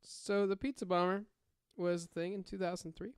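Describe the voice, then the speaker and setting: A man telling a true story casually and haltingly on a podcast, with joking asides. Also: sits high, flat delivery, clear, resonant tone, no room sound, studio quality.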